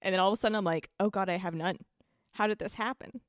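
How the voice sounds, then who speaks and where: A woman telling gaming stories in informal, animated podcast chat, acting out moments with repeated phrases. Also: mid-range voice, fast talker, no room sound, studio quality. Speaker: podcast guest. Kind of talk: casual chat, animated storytelling about gaming.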